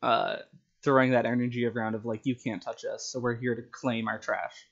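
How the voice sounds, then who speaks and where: Male storyteller, average pitch, casual tabletop gamer retelling plot ideas with acted asides. Muffled, lo-fi audio. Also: flat delivery, normal speed. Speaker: storyteller and tabletop game player. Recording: dull, lo-fi sound.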